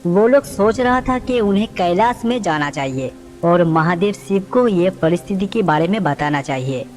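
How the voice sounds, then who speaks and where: A woman's voice: fairly low pitch, expressive, moderate pace, a little mellow. A woman reading scripted narration for a devotional story. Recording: long reverb, music underneath.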